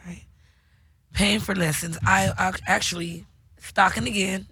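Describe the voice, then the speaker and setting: A woman from California with a raspy voice, hosting a casual podcast chat and telling loose, slangy stories with many restarts. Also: mid-range voice, steady tone, a little slow, pushed and bright. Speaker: podcast host.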